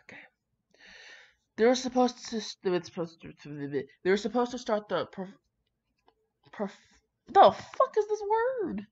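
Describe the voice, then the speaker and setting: A woman's voice: mid-range voice, big pitch swings, measured pace, long pauses, a little mellow, breathy edge. A woman reading aloud in a casual way, with brash, sweary, rambling commentary of her own. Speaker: fan fiction reader. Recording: slightly dull lo-fi home recording.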